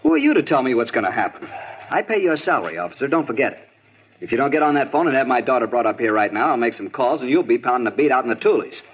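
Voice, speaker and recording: A man's voice: medium pitch, sing-song, quick pace, slightly rough, dull audio. An actor playing an angry, domineering father who blusters and threatens in a 1950s radio crime drama. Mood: indignant.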